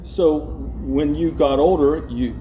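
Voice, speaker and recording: A man's voice: on the high side, slow pace, background noise. A man hosting an interview, asking questions in a hesitant way with fillers. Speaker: host.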